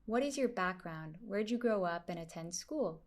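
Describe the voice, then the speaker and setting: Woman asking interview questions deliberately. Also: on the low side, lively intonation.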